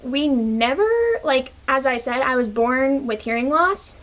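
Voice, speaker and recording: Chatty high-voiced woman of twenty. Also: unhurried, dull audio. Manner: casual, chatty, many "like" fillers. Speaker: vlogger. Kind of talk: personal storytelling to camera.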